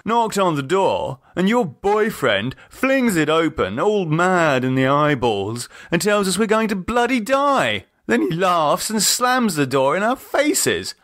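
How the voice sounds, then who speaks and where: A man's voice: high voice, very animated, measured pace, few pauses, fairly bright tone, voice pushed up, exaggerated voice. A man voicing an irate, indignant young male student neighbour with a British accent in an audiobook. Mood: indignant, agitated. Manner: indignant, exclamatory. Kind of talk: audiobook character dialogue.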